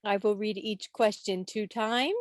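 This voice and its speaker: A woman's voice: medium pitch, steady tone, measured pace, dry recording, studio quality. An American woman hosting an informal quiz on a video call, addressing the group playfully, like a schoolteacher. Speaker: quiz host. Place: online video meeting. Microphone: video call microphone.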